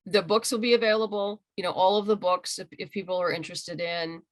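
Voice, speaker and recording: A woman's voice: mid-range voice, steady tone, fairly quick. A woman being interviewed as a writer and creator, talking casually and enthusiastically on a video call. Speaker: interviewed comic book writer and audio drama creator.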